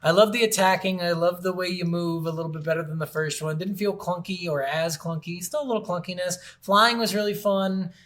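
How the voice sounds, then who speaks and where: This is a man with a distinctly high voice, talking loosely as a podcast host. He swears often and rants in an exasperated way, slipping into mock quotes of his own reactions. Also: fairly even intonation, brisk, few pauses, a bit bright. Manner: profane, exasperated ranting. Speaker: podcast host reviewing video games. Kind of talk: casual, unscripted chat.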